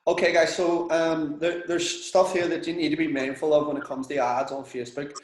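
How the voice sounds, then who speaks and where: A man's voice: on the high side, flat delivery, a little fast, roomy sound, low-quality recording. A man with a Belfast accent coaching a business group in a frank, chatty, sweary way. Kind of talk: casual coaching session with students.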